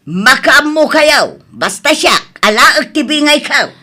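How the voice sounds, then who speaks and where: A woman playing a lively, exclaiming mother-in-law in an Ilocano radio-style comedy drama. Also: sits high, slow pace, heavily acted. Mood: bossy.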